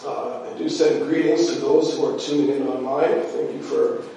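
A man's voice: medium pitch, steady tone, normal speed, mellow, slight background noise. A man preaching a sermon, his voice nasal and stuffy from allergies, chatty and full of "you know" fillers. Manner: conversational, heavy use of "you know" fillers. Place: church sanctuary. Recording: very echoey church from far off.

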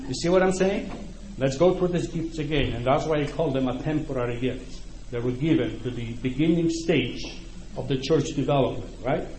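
A man's voice: mid-range voice, warm tone. A middle-aged man with a Polish accent, a native Ukrainian speaker, preaching a sermon in a conversational way and often checking in with his listeners. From Poland. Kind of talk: sermon to a congregation. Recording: echoey room, faint background noise.

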